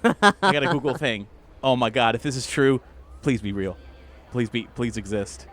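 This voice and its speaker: A man's voice: medium pitch, moderate pace, a bit bright. A man playing a tabletop role-playing game, bantering casually in a geeky way. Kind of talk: casual improvised game chat.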